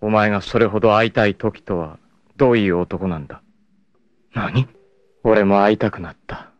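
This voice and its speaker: An actor playing a proud, cool martial arts warrior in a 1980s anime, with a sardonic, dramatic delivery. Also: male voice, on the low side, normal speed, dry recording, muffled recording, natural voice. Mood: grave, cool. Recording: noisy 1980s anime soundtrack.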